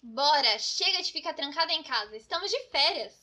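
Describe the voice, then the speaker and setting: A woman with a Brazilian accent voicing an excitable, scatterbrained best friend in a children's comedy cartoon, dramatic and full of exclamations. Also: high voice, fairly quick. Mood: cheerful. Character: excitable, scatterbrained, over-prepared young female best friend.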